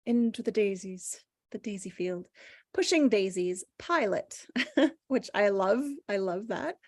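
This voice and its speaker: A woman's voice: medium pitch, animated, slow pace, no echo, clean studio sound. A woman taking part in a table read, chatting casually and reading scripted action descriptions. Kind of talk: casual chat and scripted narration.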